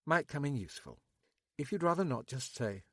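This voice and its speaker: A man voicing a polite, measured female detective sergeant. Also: medium pitch, expressive, a bit bright, dry recording, studio quality, pitched above the performer's own voice. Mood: calm.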